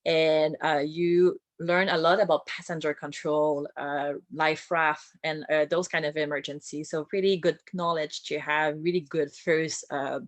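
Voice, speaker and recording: A woman's voice: deep voice, level tone, no room sound. Woman with a strong French accent. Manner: friendly, laughs lightly between phrases, many filler pauses. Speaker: boat captain and whale-watching skipper. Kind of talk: informal career presentation.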